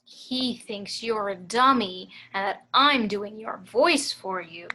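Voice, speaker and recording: A woman playing a stern female stage ventriloquist, commanding and theatrical with quiet menace, in a table read. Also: mid-range voice, slow pace, slight background noise, low-quality recording. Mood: controlled, needling. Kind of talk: amateur script table read.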